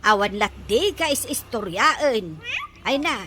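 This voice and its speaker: A woman's voice: fairly high pitch, animated, slow, background noise. A female actor playing a plaintive, put-upon village daughter in a radio drama, whining and exclaiming with an Ilocano accent. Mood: indignant, nosy. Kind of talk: Filipino radio drama acting.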